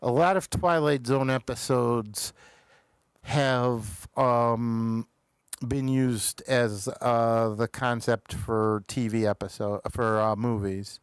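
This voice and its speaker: A man hosting a casual review show, halting as he searches for words. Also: medium pitch, fairly even intonation, smooth, no echo. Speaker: talk show host reviewing TV episodes.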